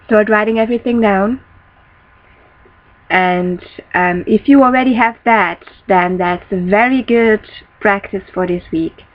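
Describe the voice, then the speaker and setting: A young woman, a native Dutch speaker, teaching a casual spiritual lesson from a small room, often pausing to find words. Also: mid-range voice. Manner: thoughtful, searching for words, restarts often. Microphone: built-in laptop webcam mic, close. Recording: dull lo-fi webcam audio.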